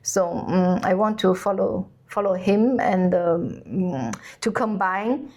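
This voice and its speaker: Co-host, female, native Chinese speaker, mid-pitched, stop-start delivery as she hunts for words. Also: darkish tone. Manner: halting, searching for words in English. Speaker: cook and channel co-host. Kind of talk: casual conversation.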